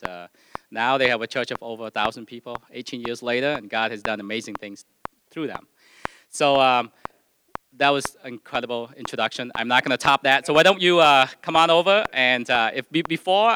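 A man speaking earnestly and haltingly as a host pastor, informally addressing a church congregation. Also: medium pitch, noisy background. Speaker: host pastor introducing a guest. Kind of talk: informal church introduction and spoken prayer.

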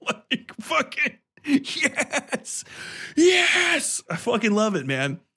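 A man hosting a podcast in a casual, animated, sweary ranting style full of comic exaggeration. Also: very high voice, drawn-out words, husky. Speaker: podcast host. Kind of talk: casual, profane comic rant and game review.

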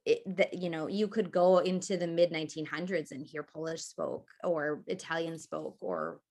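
A woman from northern Wisconsin, a guest in an interview, talking in a chatty, animated way with quick asides. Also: low voice. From northern Wisconsin, USA. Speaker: guest, copper cookware maker. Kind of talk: casual interview chat.

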